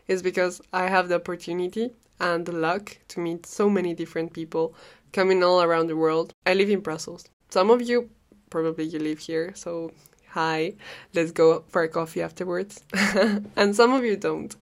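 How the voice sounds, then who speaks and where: A woman's voice: on the low side, fairly even intonation, normal speed. A young woman in her twenties with a Peruvian Spanish accent, hosting a podcast in a chatty, rambling way, laughing often and swearing casually. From Peru. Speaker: podcast host. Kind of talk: casual solo podcast monologue.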